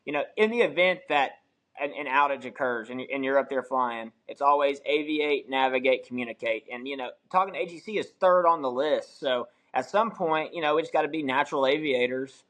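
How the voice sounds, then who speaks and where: A man's voice: mid-range voice, a little fast, a bit bright, poor audio. A man who works as a pilot, talking casually on a podcast panel.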